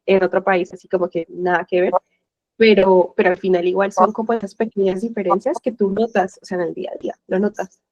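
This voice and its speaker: A woman's voice: mid-range voice, little pitch movement, brisk, a little mellow, no echo. Emphatic woman on a dull-sounding mic. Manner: emphatic, searching for words, many fillers. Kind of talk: casual chat. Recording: dull, slightly muffled mic.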